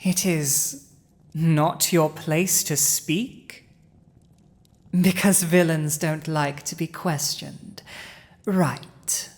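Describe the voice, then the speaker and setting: A woman playing a villainous dragon ruler in an intimate audio roleplay, speaking in a deliberate, dominant, teasing manner. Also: low voice, steady tone. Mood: coolly teasing.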